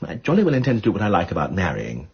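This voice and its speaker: An actor playing a witty upper-class English lord detective in a radio drama, with flippant, courteous, old-fashioned speech. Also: male voice, low-pitched, huge pitch swings, very fast, vocal fry, breathy edge, heavily acted. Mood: ardent, exasperated. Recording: dull, muffled radio recording.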